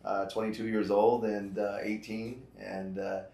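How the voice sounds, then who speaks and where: A man's voice: fairly low pitch, little pitch movement, measured pace, vocal fry, dark tone, roomy sound. A fifty-year-old man, a finance broker and interview guest, talking in an earnest, heartfelt way and often repeating his points. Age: 50s. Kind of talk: casual interview chat.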